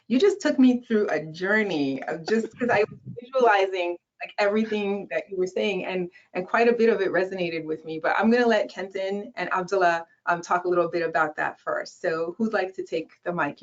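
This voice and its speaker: A woman's voice: mid-range voice, normal speed, hardly any pauses. Friendly woman moderating a panel. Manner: friendly, affirming, inviting others to speak. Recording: dull lo-fi video call audio.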